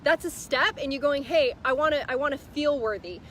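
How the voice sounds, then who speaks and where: A bubbly woman in her late thirties chats effusively on a live stream, her voice sitting a little high. She is recorded on a phone outdoors, with faint traffic humming behind her. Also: a little fast, fairly bright tone. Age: 30s. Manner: bubbly, effusive, spiritual talk. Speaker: life coach and live stream host. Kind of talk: casual live stream chat.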